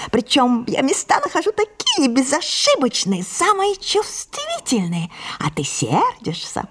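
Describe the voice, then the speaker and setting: An actress voicing a mischievous, sulky, tearful young girl in an audio drama, theatrical and breaking into breathy whispers. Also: high-pitched, fairly bright tone, pitched above the performer's own voice, exaggerated voice. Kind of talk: audio drama stage acting.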